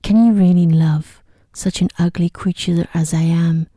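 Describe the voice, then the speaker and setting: A woman voicing a gentle, lovelorn male beast in a soft-spoken ASMR storybook reading. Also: lowish voice, steady tone, a little slow, dry recording, studio quality. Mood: doubtful, tender.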